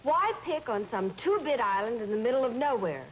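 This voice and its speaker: Actress as a caustic, high-voiced discontented wife, noisy recording. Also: female voice, expressive, brisk, lo-fi sound, close to an everyday voice. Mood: scornful. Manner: caustic, wisecracking, impatient. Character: bored, sarcastic, discontented wife of a judge. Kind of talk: dramatic acting in an old-style suspense drama.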